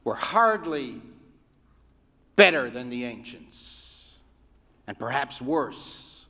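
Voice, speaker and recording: A rabbi giving a sermon in a measured, oratorical way, with deliberate pauses. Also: male voice, mid-range voice, sing-song, pushed and bright. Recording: reverberant hall.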